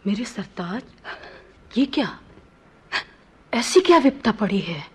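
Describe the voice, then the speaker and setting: A woman's voice: medium pitch, sing-song, takes it slowly, a little hiss or hum. A woman playing a distressed young court lady in a radio play, plaintive and melodramatic. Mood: startled.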